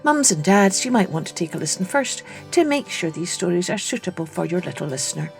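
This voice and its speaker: A woman hosting a children's story podcast, reading scripted narration in a deliberate, expressive way. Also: fairly low pitch, gravelly, faint music behind.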